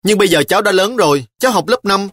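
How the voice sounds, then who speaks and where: A man's voice: sits high, expressive, fast, fairly bright tone, no room sound, studio quality, voice pushed up. A man voicing a stubborn, sulky little girl of about ten who pleads and insists in an audiobook. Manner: pleading, insistent child's whine.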